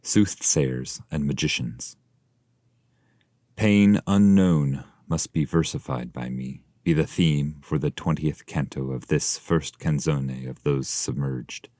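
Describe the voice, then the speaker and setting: A man reading a scripted literary text aloud in a measured, formal delivery. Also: extremely low pitch, warm tone, dry recording, studio quality. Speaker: translator and reader.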